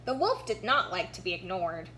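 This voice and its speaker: A woman's voice: medium pitch, sing-song, normal speed, pushed and bright. A woman reading a children's storybook aloud expressively, narrating for young listeners. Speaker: storybook reader. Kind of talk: animated children's read-aloud with picture commentary. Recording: noticeable background hum.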